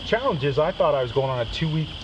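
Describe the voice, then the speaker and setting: A man's voice: fairly high pitch, expressive, quick pace, muffled recording. Man sharing testimony, distant and very noisy. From Trinidad, moved to Canada as a child. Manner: conversational, often checks in with "right?" and "okay?". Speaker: speaker giving a personal testimony. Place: outdoors at a men's canoe trip gathering. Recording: distant mic, loud outdoor insect and ambient noise.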